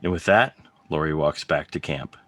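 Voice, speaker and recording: A man's voice: rumbling low, normal speed, fairly bright tone, slight background noise. A man narrating stage directions for an online table read of a comic, in a scripted style. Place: video call. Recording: online video call.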